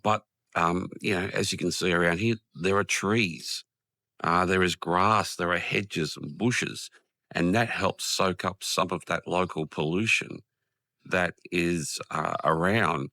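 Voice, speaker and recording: An Australian man with a croaky voice from a cold, talking casually in a rambling vlog with many ums and restarts, outdoors in a quiet park. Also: low-pitched, measured pace, no echo. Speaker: vlogger. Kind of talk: casual vlog talk to camera.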